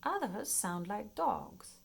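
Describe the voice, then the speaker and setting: Slow, animated woman reading to children. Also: mid-range voice. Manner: expressive, playful, clearly paced for young children. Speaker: children's storybook reader. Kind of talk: read-aloud children's nonfiction storytime narration.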